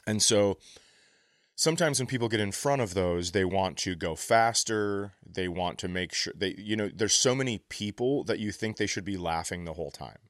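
A man telling casual, animated stories as a comedian on a podcast, often profane and easily amused. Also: fairly low pitch, moderate pace, no room sound, studio quality. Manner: acts out other people's quotes.

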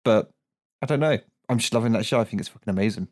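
A man's voice: fairly low pitch, fast, breathy edge. A British man co-hosting a podcast, chatting casually and teasing his friends with plenty of swearing. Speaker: podcast co-host. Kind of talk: casual chat.

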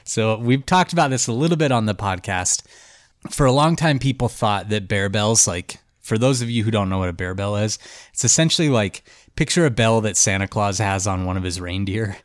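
A man's voice: on the low side, brisk, no room sound, studio quality. A man hosting a podcast who is congested and tells a story in a loose, chatty way full of "like" and "um". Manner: loose and chatty, with many "like" and "um" fillers. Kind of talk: casual storytelling chat.

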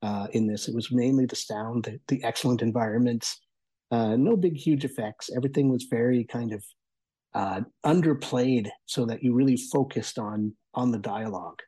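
Husky, mellow man reviewing casually. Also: medium pitch, moderate pace. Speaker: guest reviewer.

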